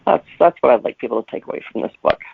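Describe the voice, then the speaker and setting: A man with a creaky, gravelly voice talks as a guest author being interviewed. He explains things reflectively and conversationally. The sound is dry and narrow, like a telephone line. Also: mid-range voice, big pitch swings, very fast, no echo. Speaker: guest novelist.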